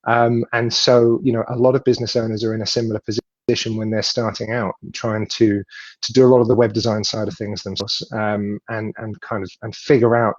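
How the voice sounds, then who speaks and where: A man's voice: fairly low pitch, dry recording. A man in his thirties, a guest on a video call, answering in a friendly, rambling way with many fillers and restarts. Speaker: web design agency founder, guest. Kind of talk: casual interview answers. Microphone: webcam on video call. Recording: dull, dry video-call audio.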